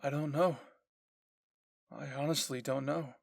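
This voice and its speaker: A man's voice: medium pitch, steady tone, unhurried, vocal fry, dry recording, clean studio sound. A man playing a protective, stubborn young male soldier in an audio story, with a firm, insistent manner. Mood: reassuring.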